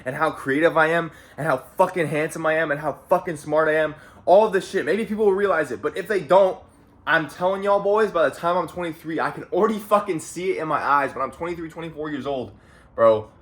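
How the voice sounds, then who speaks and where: A man's voice: a little high, fairly quick, fairly bright tone. A young man in his late teens from Canada, talking casually and profanely to camera in a motivational, rambling monologue, often repeating phrases and saying "bro". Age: young adult. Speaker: vlogger. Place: at home.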